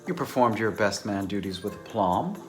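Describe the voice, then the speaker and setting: A man performs a reserved scholarly bachelor in a novel dramatization. His pitch moves freely as he muses in formal, slightly hesitant phrases, with a wistful edge. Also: animated, moderate pace, a little mellow, soft background music, close to an everyday voice. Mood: musing, polite. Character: Rupert, reserved, bookish anthropologist, a wistful bachelor.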